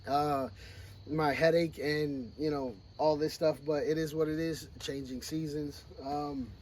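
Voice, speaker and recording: A man who is sick and hoarse, talking casually and bluntly to camera outdoors at a shooting range about training. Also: a little high, fairly even intonation, a little slow, darkish tone, a little hiss or hum. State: sick, losing his voice. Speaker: firearms training vlogger.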